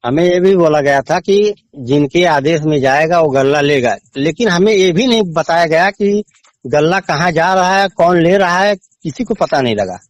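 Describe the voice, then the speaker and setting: A village man speaks as a caller with a complaint on a recorded phone line, his voice a little high and free of echo. His eastern Uttar Pradesh accent comes with a halting, respectful way of answering. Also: lively intonation. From Azamgarh region, India. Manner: deferential, halting. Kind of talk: answering questions in a phone call. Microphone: recorded phone call.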